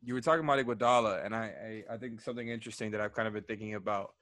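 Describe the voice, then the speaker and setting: A man's voice: lowish voice, rapid-fire, fairly bright tone, no room sound. A man co-hosting a podcast, chatting about basketball lineups, thinking aloud with many restarts. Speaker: podcast co-host. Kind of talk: casual sports chat.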